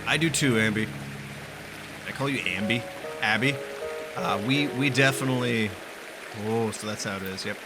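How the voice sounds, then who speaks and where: A man's voice: medium pitch, normal speed, gravelly, long pauses. A man doing playful let's-play commentary, joking and self-deprecating. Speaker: gaming streamer. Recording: game music behind the voice.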